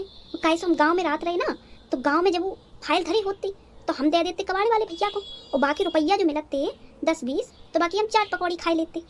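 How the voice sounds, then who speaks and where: A woman's voice: very high-pitched, a little hiss or hum. A woman playing a naive, dramatic, talkative young village bride in a cartoon, with a rural North Indian accent and a rambling, guileless manner. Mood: naive, chatty. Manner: rambling, guileless, theatrically indignant. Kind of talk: cartoon story dialogue in rustic dialect.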